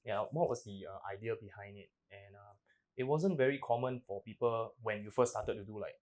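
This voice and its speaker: Excitable swearing host. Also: male voice, on the low side, a little fast. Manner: excitable, swears often, loud exclamations. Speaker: podcast host. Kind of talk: casual interview chat.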